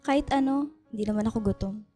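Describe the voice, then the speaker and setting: A woman's voice: on the high side, fast, mellow, faint music behind, close to an everyday voice. A woman acting a coy, candid young woman in love, talking conversationally in a radio romance drama. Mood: casual, shy.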